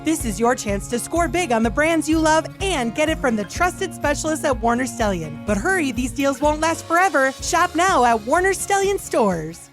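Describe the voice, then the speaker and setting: A woman's voice: high voice, animated, normal speed, few pauses. A woman reading an upbeat retail radio ad as the store's spokesperson. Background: music.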